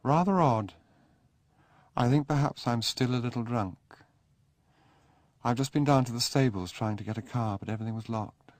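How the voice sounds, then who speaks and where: A man's voice: medium pitch, normal speed, long pauses, darkish tone, dry recording, voice pushed up, lightly acted. A man putting on a distraught young aristocrat. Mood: sullen. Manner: emotional, pleading, clipped upper-class delivery. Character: young English aristocrat man, drunk, wretched and sullen.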